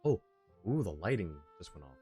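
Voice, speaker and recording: A man with a very deep voice chatting casually on a game livestream. He rambles with fillers and chuckles, and faint game music plays underneath. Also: sing-song, a little fast, mellow, slightly rough. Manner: rambling, laughing, filler-heavy. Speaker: streamer. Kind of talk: casual livestream gameplay commentary.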